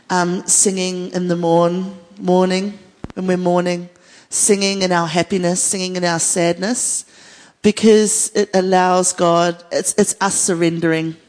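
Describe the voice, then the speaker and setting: Female worship leader, New Zealand accent, slightly low voice; earnest panel chat in an echoey hall. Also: fairly even intonation, slow pace, darkish tone. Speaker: worship leader, guest speaker. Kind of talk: unscripted panel conversation about faith. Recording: echoey hall with long reverb.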